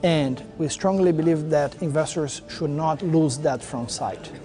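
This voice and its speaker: A man's voice: on the high side, a little slow, mellow. A man stating his team's investment views in a corporate interview, earnest and stressing his convictions. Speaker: emerging market debt investor. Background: faint music.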